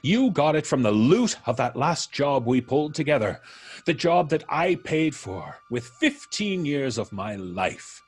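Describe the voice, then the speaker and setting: A gloating, menacing ex-convict out for revenge in old-time radio style. His taunts are theatrical and mock-polite, swinging widely in pitch, with a husky edge to the voice. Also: sing-song, a little slow, exaggerated voice. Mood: demanding, angry.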